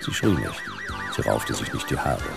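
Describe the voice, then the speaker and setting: A man playing a gruff, hard-boiled detective in a sci-fi radio drama, terse and laconic with a gravelly voice. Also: rumbling low, very animated, moderate pace, loud music behind the voice, exaggerated voice. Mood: wry, laconic. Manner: terse, laconic noir delivery. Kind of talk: German sci-fi radio drama acting and narration.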